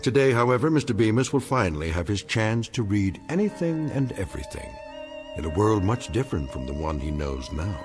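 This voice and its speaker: A man playing the grave, wry narrator of an eerie radio drama, measured and ominous in delivery. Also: lowish voice, sing-song, music in the background. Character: grave, wry male storyteller-host of eerie tales.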